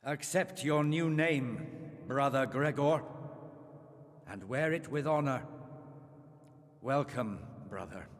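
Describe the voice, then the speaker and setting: An actor playing a solemn monastery superior in a video game, speaking with formal, ceremonial measure. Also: male voice, mid-range voice, takes it slowly, pauses often, natural voice. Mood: solemn welcome. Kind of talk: ritual address with Latin chant. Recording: echoing hall with long reverb.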